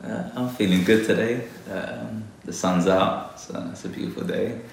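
A man's voice: on the low side, moderate pace, creaky, very echoey, slight background noise. A man from London, a guest botanist, talking reflectively in a casual interview, hesitant with many fillers. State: a little nervous.

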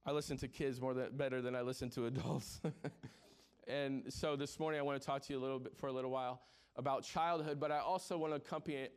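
A man's voice: mid-range voice, clean tone. A man in his forties preaching a sermon as pastor, conversational and joking with the congregation, often repeating words as he restarts. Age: 40s.

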